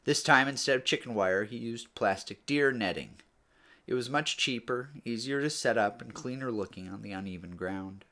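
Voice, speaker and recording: A man narrating a story in a measured read-aloud style, letting his phrases fall away at the ends. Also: mid-range voice, sharp tone. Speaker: author or reader narrating a book read-aloud.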